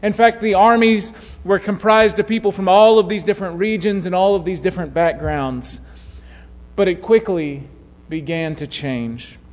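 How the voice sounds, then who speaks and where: A man preaching a sermon, telling a story in a measured way that drops to a hush at key moments. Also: high-pitched. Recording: long hall reverb.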